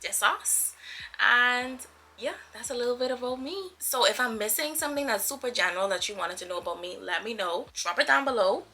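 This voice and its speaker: A woman's voice: fairly high pitch, fairly quick, pushed and bright, husky. A woman of twenty-seven from the Bahamas chatting casually to her viewers as a YouTuber, playful, effusive and slangy. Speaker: YouTuber, vlogger.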